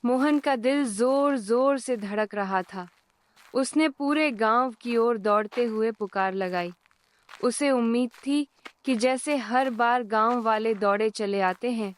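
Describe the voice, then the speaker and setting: Slow, higher-pitched woman storyteller. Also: no echo. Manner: calm, measured. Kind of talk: scripted storybook narration.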